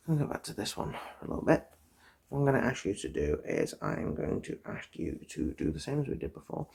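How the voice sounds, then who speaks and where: A person whispering softly in an ASMR eye doctor roleplay, giving gentle, polite, reassuring instructions in a breathy voice. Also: medium pitch.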